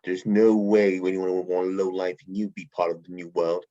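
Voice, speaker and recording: Lower-voiced man reading sneering lines. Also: little pitch movement, normal speed, warm tone. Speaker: table-read actor. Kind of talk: reading a role aloud. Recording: dull lo-fi home mic.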